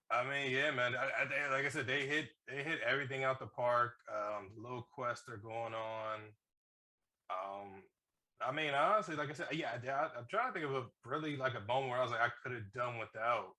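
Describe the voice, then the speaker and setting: A man co-hosting a casual movie review, talking in an animated, slangy way with plenty of swearing. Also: mid-range voice. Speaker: podcast co-host reviewing films.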